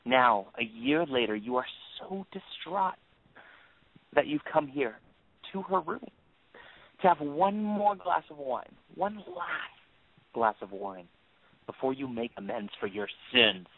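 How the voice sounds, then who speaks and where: A man's voice: on the high side, sing-song, gravelly, dry recording, heavily acted. Man acting vengeful father on a tinny line. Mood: menacing. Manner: measured, accusing, menacing, dwells on repeated phrases. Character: vengeful grieving father, coldly confronting his oldest friend. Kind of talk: audio drama acting. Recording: phone-like lo-fi line.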